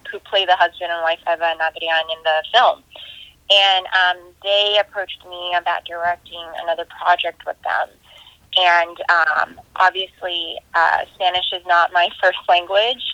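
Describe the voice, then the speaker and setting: A woman's voice: fairly low pitch, pushed and bright, no room sound, muffled recording. A woman filmmaker giving thoughtful, conversational answers in a casual interview. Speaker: independent filmmaker being interviewed.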